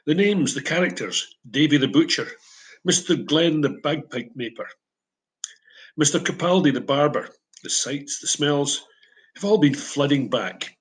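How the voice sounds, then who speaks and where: A man in his seventies with a Scottish accent, a retired English teacher giving a reflective prepared talk with gentle humour. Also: fairly high pitch, animated, unhurried, low-quality recording. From Scotland. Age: 70s.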